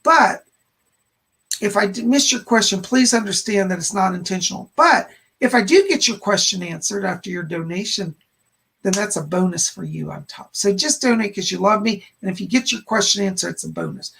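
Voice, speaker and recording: Hoarse, raspy, gushing male psychic host. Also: sits high, moderate pace, pushed and bright. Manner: effusive, gushing greetings with frequent squeals of delight. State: hoarse, tired voice. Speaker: psychic medium hosting a live stream. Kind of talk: casual livestream chat, greeting viewers. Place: home studio room.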